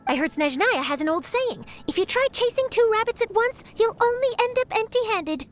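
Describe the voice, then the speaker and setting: A woman voicing a perky young reporter in a video game, brisk and newsy. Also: very high-pitched, animated, soft background music. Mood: matter-of-fact.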